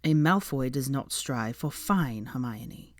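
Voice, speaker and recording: A woman's voice: rumbling low, animated, slow pace, a little mellow, no room sound, pitched below the performer's own voice, heavily acted. A woman voicing a haughty, proud young wizard man in an audiobook, with a dry drawl. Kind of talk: audiobook character voice.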